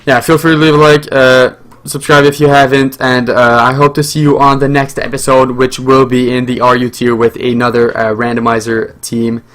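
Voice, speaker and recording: A man's voice: mid-range voice, little pitch movement, normal speed, hardly any pauses, fairly bright tone. A young man whose first language is French, hosting his own channel and giving live, rambling commentary over a game with lots of fillers and restarts. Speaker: gaming channel host.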